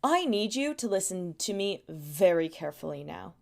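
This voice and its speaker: A woman playing a firm, businesslike royal household superior who gives orders deliberately in an audio drama. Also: fairly low pitch, very animated, creaky. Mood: grave warning. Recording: music behind the voice.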